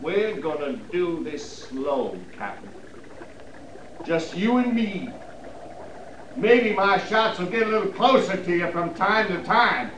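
A man's voice: high voice, expressive, takes it slowly. An actor with an American accent playing a vengeful, cowardly frontier gunman, bitter and taunting, with a folksy drawl in 1950s Western radio style. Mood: menacing. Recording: noisy, echoey 1950s radio recording.

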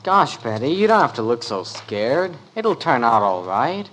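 An actor playing a gawky, bossy but good-hearted American teenage brother with emphatic gee-whiz delivery in 1950s radio comedy. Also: male voice, medium pitch, big pitch swings, unhurried, a bit bright. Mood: concerned, bossy.

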